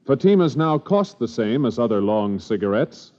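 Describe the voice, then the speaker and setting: A man's voice: mid-range voice, big pitch swings, normal speed. An American man announcing in the formal, declarative style of 1950s radio. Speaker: programme announcer. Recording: dull vintage radio recording, loud brass music.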